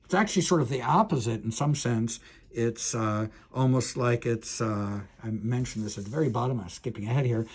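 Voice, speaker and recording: A man's voice: medium pitch, brisk, husky. A man lecturing as a professor on a technical subject, explaining step by step in a hesitant, thinking-aloud way with self-corrections.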